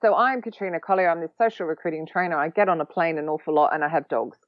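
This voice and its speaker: A woman's voice: low voice, no echo. Chatty Australian woman, dull dry sound. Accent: Australian, long lived in London. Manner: chatty, quick-witted, a bit sarcastic. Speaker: podcast guest, social recruiting trainer. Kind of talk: casual podcast interview.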